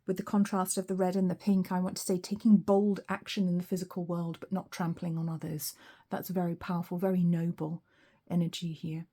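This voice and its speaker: A woman reading tarot cards in a relaxed solo session, her voice a little weak and hoarse, musing aloud with frequent ums. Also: fairly low pitch, brisk, hardly any pauses. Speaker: tarot reader.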